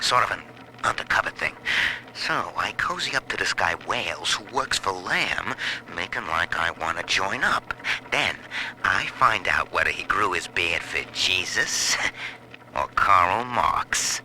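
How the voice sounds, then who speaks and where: A man's voice: low voice, expressive, measured pace, sharp tone, heavily acted. A man playing a sly, eager, wisecracking old-time reporter in a period game audio log. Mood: scheming, amused. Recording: faintly noisy audio-log recording.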